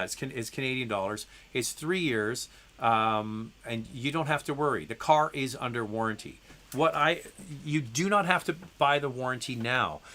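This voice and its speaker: A man hosting a live question session, reading out viewer messages and answering them in a loose, conversational way full of ums and restarts. He is congested from a head cold, and his voice comes across bright and a little tense. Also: medium pitch. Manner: reads viewer messages aloud. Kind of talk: live stream Q&A.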